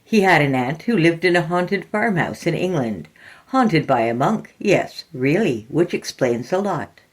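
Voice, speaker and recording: A woman presents a podcast in a low voice. Her intonation moves widely up and down, giving the scripted announcement a theatrical, deliberate feel. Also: lively intonation. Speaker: podcast host.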